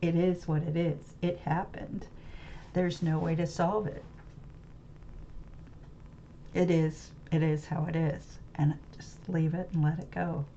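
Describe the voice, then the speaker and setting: A woman's voice: low voice, little pitch movement, normal speed, mellow, slight background noise. American woman host, rambling live-stream monologue, hunts for words, faint background ambience. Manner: rambling, searches for words, many restarts and fillers. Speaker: webcam channel host. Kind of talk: unscripted musing monologue about a book. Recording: slightly dull lo-fi audio with faint ambience.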